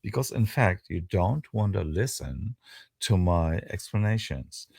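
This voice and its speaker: Low gravelly man, husky, lively insistent debater on a video call. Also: no echo, clean studio sound. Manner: insistent, halting, restarts his sentences. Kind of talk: heated casual debate.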